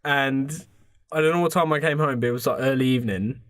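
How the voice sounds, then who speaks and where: A man's voice: medium pitch, quick pace, a bit bright. A man chatting casually as a podcast co-host, with a jokey, irreverent manner. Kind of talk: casual comedic chat.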